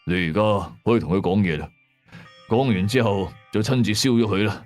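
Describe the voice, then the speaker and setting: A man's voice: fairly low pitch, brisk, a little mellow, soft background music, lightly acted. A man voicing a stern older father who works as an exorcist, solemn and instructive, in an audio horror story.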